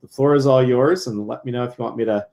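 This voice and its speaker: A man's voice: mid-range voice, fast talker, dark tone. Upbeat rambling man hosting. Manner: upbeat, rambling, many fillers. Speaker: cohort host and moderator. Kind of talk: casual live event hosting.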